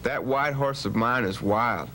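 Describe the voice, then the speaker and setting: A man's voice: mid-range voice, unhurried, bright, forceful tone. A man playing a bold, plain-spoken legendary cowboy rancher in an educational radio drama, with a folksy, authoritative manner. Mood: earnest, warning. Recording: hum and faint background noise.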